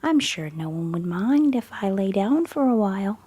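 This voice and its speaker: A woman voicing a curious little girl in a whispered bedtime storybook reading, soft and musing. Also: mid-range voice, big pitch swings, moderate pace, muffled recording, noisy background, natural voice.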